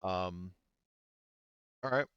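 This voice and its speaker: A man with a low, smooth and resonant voice runs a tabletop roleplaying session as game master. The sound is dry and close, with a slightly muffled top end. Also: extremely slow, fairly bright tone, no echo.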